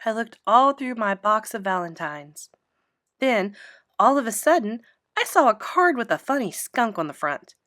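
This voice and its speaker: Animated, higher-voiced woman storyteller. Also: moderate pace, no room sound, studio quality. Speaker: read-aloud storyteller. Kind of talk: expressive children's audiobook narration in a child's first person.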